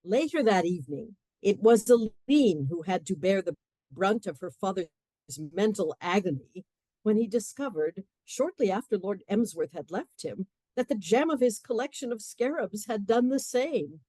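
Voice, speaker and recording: A woman's voice: mid-range voice, animated, moderate pace, mellow, slightly rough, no room sound. Narrator: woman, mid-range voice, scripted storytelling delivery, dry studio-like sound.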